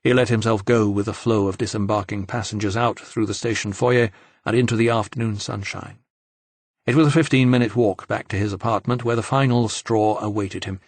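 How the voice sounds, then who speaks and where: A man's voice: lowish voice, steady tone, fairly quick, dry recording. A man narrating fiction from a script as an audiobook reader.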